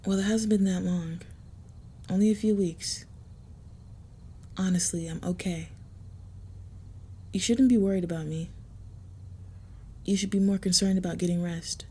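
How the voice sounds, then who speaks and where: Woman acting female vampire, intimate roleplay audio, mellow and breathy, hushed, hesitant, gentle, possessive, protective. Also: on the low side, measured pace, long pauses. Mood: guarded, reassuring. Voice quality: soft, husky, breathy. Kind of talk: intimate roleplay audio with first-person narration.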